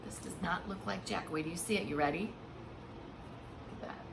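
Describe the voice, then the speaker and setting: A woman reading a picture book aloud like a preschool teacher, with expressive, hushed emphasis and simple asides to small children. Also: on the low side, brisk, creaky, noisy background. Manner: simple explanations and questions addressed to small children. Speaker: pre-K teacher.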